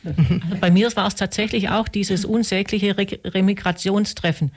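A woman in her mid sixties speaks earnestly in a middle-pitched voice. She often quotes her own thoughts aloud as she explains herself. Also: fairly even intonation, moderate pace, husky. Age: 60s. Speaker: group member. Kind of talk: casual interview talk.

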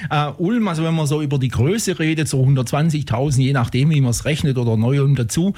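A middle-aged or older man with a husky voice, hosting a casual radio interview in a rambling, conversational way. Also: on the high side, a little fast, warm tone. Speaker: radio host.

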